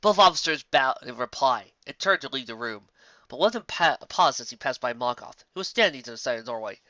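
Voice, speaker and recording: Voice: medium pitch, animated, quick pace, pushed and bright, dry recording. A narrator reading a fantasy story aloud in a scripted, dramatic style. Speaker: narrator, audiobook reader. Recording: slightly dull, close dry recording.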